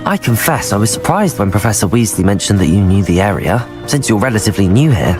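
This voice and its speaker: A man's voice: on the low side, very animated, quick pace, music in the background. A man voicing a polite, keen teenage schoolboy wizard in a video game, in a courteous, earnest manner. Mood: curious, friendly.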